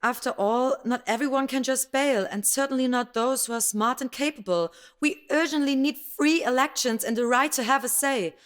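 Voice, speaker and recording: A woman's voice: high voice, moderate pace, hardly any pauses, smooth, natural voice. A woman voicing an outspoken East German dissident who argues insistently, in a scripted motion comic.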